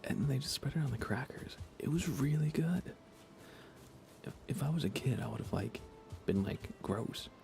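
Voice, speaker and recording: A soft-spoken man chatting casually on an ASMR gaming livestream, rambling unhurriedly and often slipping toward a whisper. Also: mid-range voice, animated, moderate pace, creaky, soft background music. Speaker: livestreamer playing a video game.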